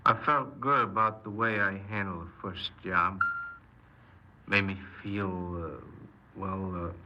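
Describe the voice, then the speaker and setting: A man's voice: deep voice, fairly even intonation, slow, dull audio. An actor playing a cold, boastful, menacing criminal confessing his robberies in a 1950s radio crime drama. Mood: smug, recounting. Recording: noisy 1950s radio recording.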